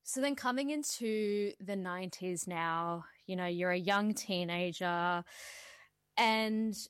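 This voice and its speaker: A woman's voice: lowish voice, slow, clear, resonant tone, no room sound, clean studio sound. A woman hosting an interview, asking questions and relating thoughtfully to her guest's story. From India, raised in Australia. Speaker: host. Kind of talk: casual interview chat.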